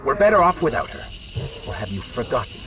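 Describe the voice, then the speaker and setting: A man voicing a prince's cynical dark alter ego in a video game, sardonic and mocking. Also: mid-range voice, sing-song, fairly quick, background noise. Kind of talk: video game cutscene acting. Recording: game audio with background music.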